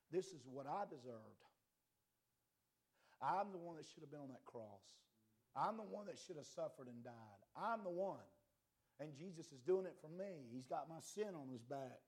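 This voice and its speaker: A man preaching a fiery sermon, impassioned and swinging between quiet and loud, his voice a little worn. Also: on the high side.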